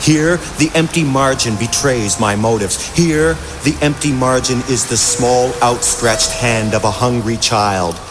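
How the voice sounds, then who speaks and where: A man giving a measured, deliberate spoken-word poetry reading. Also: mid-range voice, noisy background. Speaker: poetry reader. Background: loud music soundscape.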